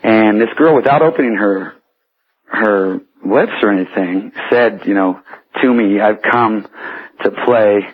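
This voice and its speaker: Male American radio caller, hesitant storyteller, rough-edged voice, tinny telephone sound. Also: fairly low pitch. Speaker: phone-in caller. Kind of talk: personal storytelling on a radio call. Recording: tinny, muddy telephone line.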